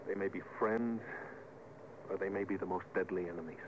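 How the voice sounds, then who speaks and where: A man's voice: low voice, fairly even intonation, fairly quick, close to an everyday voice. An actor playing an earnest, deferential starship officer who reasons with his superior in a vintage radio drama. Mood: subdued, doubtful. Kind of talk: mid-century radio drama acting. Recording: muffled, hissy vintage radio recording.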